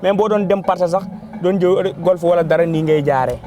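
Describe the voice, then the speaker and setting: High-pitched Senegalese man over clear music. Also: brisk. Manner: mixes Wolof and French. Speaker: taxi driver interviewee. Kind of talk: casual spontaneous interview.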